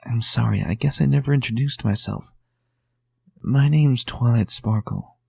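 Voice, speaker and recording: Guy doing a gentle nervous unicorn lady, hesitant, slightly raised voice, dry sound. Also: mid-range voice, moderate pace, creaky, slightly rough, no room sound, voice pushed up. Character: kind, anxious young female unicorn scholar.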